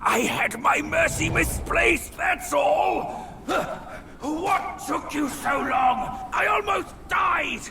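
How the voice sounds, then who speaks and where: An actor playing a quarrelsome male game character in a cutscene, shouting insults and pleas. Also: extremely high pitch, animated, takes it slowly, full character voice. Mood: scornful. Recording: loud orchestral score behind. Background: loud game music.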